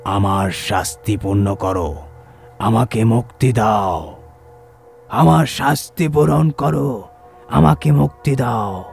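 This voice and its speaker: A man voicing a menacing ancient spirit of a dead occultist in a horror audio story, with slow, ominous, drawn-out speech. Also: mid-range voice, gravelly, a little mellow, soft background music. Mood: demanding, menacing.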